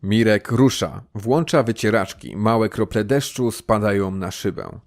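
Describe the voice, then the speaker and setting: A man's voice: lowish voice, a little slow, vocal fry. A man reading scripted crime drama narration in short, matter-of-fact sentences.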